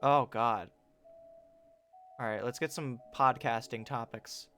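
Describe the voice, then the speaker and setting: A man's voice: medium pitch, fairly even intonation, slow, fairly bright tone, faint music behind. Joking man streaming a game. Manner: reads game text aloud and riffs jokingly. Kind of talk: casual livestream chat while playing a game.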